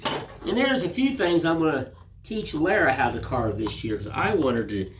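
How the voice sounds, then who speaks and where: Older man, hoarse and raspy from a cold; folksy rambling host, slightly higher voice. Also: expressive, normal speed, background noise. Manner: rambling, homespun, folksy filler phrases. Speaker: woodcarving channel host. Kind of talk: casual chat to camera.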